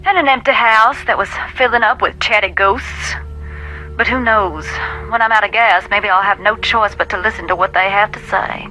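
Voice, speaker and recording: A woman's voice: mid-range voice, normal speed, sharp tone, a little hiss or hum, lightly acted, rough recording. An actress playing a wry, whimsical woman writer in a video game, with dry, playful phrasing. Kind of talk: video game character dialogue.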